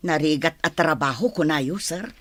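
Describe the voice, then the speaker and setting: An actress playing a put-upon, complaining employee who talks back to the boss in a radio drama. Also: female voice, low voice, normal speed, fairly bright tone, no room sound. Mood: resentful. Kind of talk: Philippine radio drama acting.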